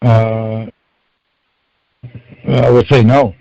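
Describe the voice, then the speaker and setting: A man speaking casually on a conference call, halting and correcting himself as he gives his account. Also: medium pitch, level tone, creaky, warm tone, no echo, faint music behind. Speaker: call participant. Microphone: phone call. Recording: dull lo-fi conference line.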